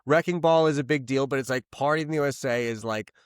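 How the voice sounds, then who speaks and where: A man co-hosting a podcast, talking loosely and profanely about songs, rambling and excitable. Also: mid-range voice, fast, clean tone, no echo, studio quality. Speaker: podcast co-host. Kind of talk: casual chat. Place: lives in Los Angeles.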